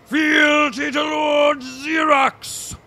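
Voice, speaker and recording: Comedy audio drama: stentorian, pompous admiral cultist, high gravelly voice. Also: male voice, little pitch movement, drawn-out words, pushed and bright, shouting, some chatter behind, exaggerated voice. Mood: grandiose. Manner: stentorian, grandiloquent, theatrical. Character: pompous deluded male admiral cultist, grandiose.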